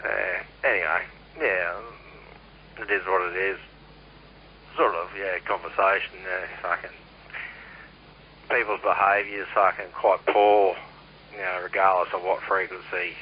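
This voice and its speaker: Low-voiced Australian man on muffled CB radio. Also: level tone, unhurried, pauses often. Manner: blunt, sweary, rambling. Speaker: CB radio operator. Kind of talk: casual radio chat. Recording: muffled, noisy CB radio transmission.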